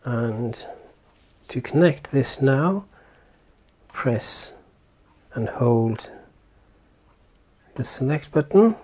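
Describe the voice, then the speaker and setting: A man's voice: medium pitch, expressive, drawn-out words, long pauses, a little mellow. A man giving casual hands-on product commentary as a reviewer, explaining step by step and thinking aloud informally. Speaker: tech reviewer and presenter.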